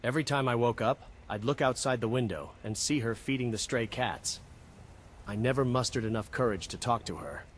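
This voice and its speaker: A man playing an earnest wood elf companion in a fantasy game, speaking in a measured, reflective way. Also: medium pitch, slight background noise, natural voice. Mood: earnest, wistful. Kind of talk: scripted game companion dialogue. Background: game music behind the voice.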